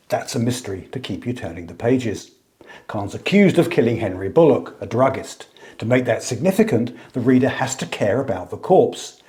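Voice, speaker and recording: A man, an author, giving a measured, scripted talk explaining how he writes fiction. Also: lowish voice, a little mellow.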